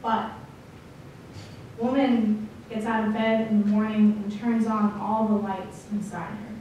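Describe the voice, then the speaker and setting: A woman performing slam poetry, speaking deliberately with dramatic phrasing and pauses. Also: on the high side, darkish tone, recorded from a distance. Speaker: slam poet. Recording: echoey room from afar, faint noise.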